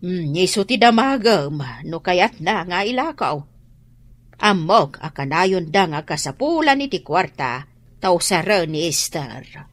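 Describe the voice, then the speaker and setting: A woman's voice: lowish voice, very animated, unhurried, dry recording. Fretful mother, swooping intonation. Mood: matter-of-fact. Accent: northern Philippine. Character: fretful, concerned married mother of grown children. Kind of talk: radio drama acting.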